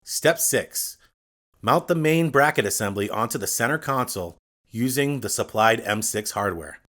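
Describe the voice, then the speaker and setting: A man reading a scripted step-by-step tutorial, addressing the viewer directly in a clear instructional manner. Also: medium pitch, expressive, normal speed, a bit bright. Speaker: instructional video narrator.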